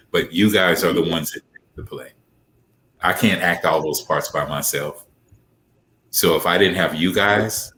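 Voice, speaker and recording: Very deep-voiced man on a noisy call. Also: a bit bright. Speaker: playwright and director, interview guest. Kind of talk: casual, heartfelt interview talk.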